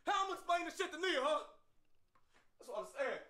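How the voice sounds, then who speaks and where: Men acting a comedy skit in a played clip, bantering, shouting and laughing as teammates argue. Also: male voice, extremely high pitch, sing-song, brisk, heavily acted. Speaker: comedy sketch actor. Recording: echoey room audio from a played clip.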